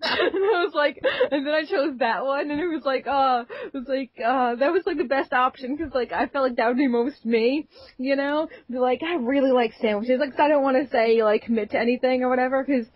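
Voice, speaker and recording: A woman's voice: sits high, a little fast, dry recording. A woman co-hosting a casual gaming podcast, giggly and rambling with lots of "like". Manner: giggly, rambling with many likes. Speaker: podcast co-host. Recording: dull lo-fi dry sound.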